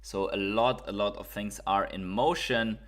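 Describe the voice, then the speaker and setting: A man's voice: lowish voice, steady tone, a little slow, a bit bright. A man from Germany with a German accent, hosting a casual crypto livestream, reading viewer comments and thinking aloud with fillers and repeats.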